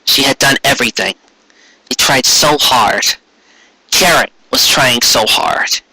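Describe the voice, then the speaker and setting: A man reading a story aloud in an expressive, theatrical way, lingering over lists of words. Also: mid-range voice, measured pace, breathy edge, no room sound, low-quality recording. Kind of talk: expressive scripted narration with occasional asides.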